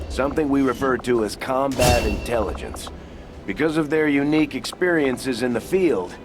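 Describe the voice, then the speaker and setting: A man's voice: mid-range voice, natural voice. A man playing a dutiful military officer in a video game, giving a formal, businesslike briefing.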